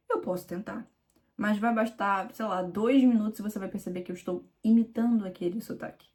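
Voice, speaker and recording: A young woman from Rio with a Carioca accent talks straight to the viewer as an exam mentor. She speaks informally and emphatically, and she repeats her key points. Her voice sits at an ordinary middle pitch. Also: mellow. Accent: Carioca Brazilian Portuguese. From Rio de Janeiro, Brazil. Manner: conversational, direct, addresses viewer informally with "tu"; emphatic and repetitive. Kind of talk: direct-to-camera teaching video.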